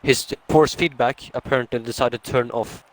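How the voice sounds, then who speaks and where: A man chatting casually as co-commentator on a live online race stream, relaxed and reactive. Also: medium pitch, moderate pace, low-quality recording.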